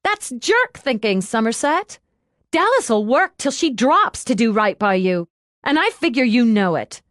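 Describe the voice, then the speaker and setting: Lady doing a brash bubbly gal, high lively voice, slangy and gushing, audiobook character. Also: measured pace, no room sound, studio quality. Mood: earnest, pleading. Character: bubbly, brash, slangy, affectionate young woman with lavender hair.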